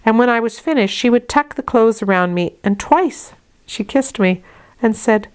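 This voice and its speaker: A woman reading a classic novel aloud as an audiobook, in a measured, deliberate storytelling manner. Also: fairly high pitch, animated, darkish tone, clear, resonant tone. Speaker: audiobook reader. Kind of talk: scripted narration of a classic novel, read aloud.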